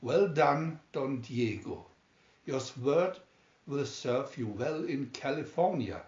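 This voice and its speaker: A man reading the part of a knowing, friendly but grave ship's officer in a storybook, measured and declamatory. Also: medium pitch, animated, darkish tone. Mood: admiring. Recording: roomy, dull home recording.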